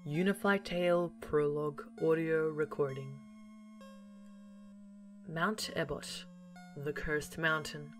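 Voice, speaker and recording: A woman's voice: sits low, fairly even intonation, slow pace, pauses often, faint music behind. A woman delivering scripted narration as the narrator of an audio series, in a formal storytelling manner.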